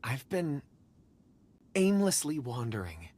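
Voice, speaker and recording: A man's voice: medium pitch, sing-song, takes it slowly, dark tone. An actor playing a polite, forgetful, anxious man in a video game, speaking hesitantly and apologetically. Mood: lost.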